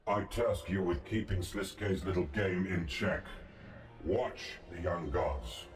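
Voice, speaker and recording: An ancient god, low voice, imperious n solemn, sounds far off, music under it, fantasy game. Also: male voice. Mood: commanding. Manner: formal, measured, imperious. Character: commanding ancient male god, calm and solemn. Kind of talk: scripted fantasy game dialogue. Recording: roomy, distant, music behind.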